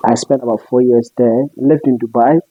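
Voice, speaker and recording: A man's voice: medium pitch, steady tone, moderate pace, dry recording, muffled recording. Chatty Ghanaian man with an international accent. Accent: Ghanaian mixed with international English. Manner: chatty, laughs often, lots of fillers. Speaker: interviewer and web show host. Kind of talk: casual interview conversation.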